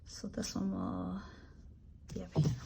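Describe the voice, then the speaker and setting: A woman with a raspy, allergy-hoarse voice chatting casually as she decorates her planner, relaxed and full of ums. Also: on the low side, background noise. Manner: slow, relaxed, chatty with frequent ums and asides. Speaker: planner vlogger. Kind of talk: casual plan-with-me narration.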